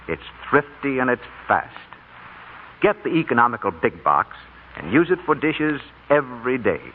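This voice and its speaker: A man announcing a 1940s radio commercial with a polished sales delivery. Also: mid-range voice. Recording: tinny, hissy old radio broadcast.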